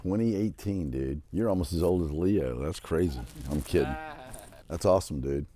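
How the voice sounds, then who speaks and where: A man's voice: low-pitched, moderate pace, warm tone. A man hosting a casual interview chat, speaking in an encouraging, affirming way. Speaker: show host.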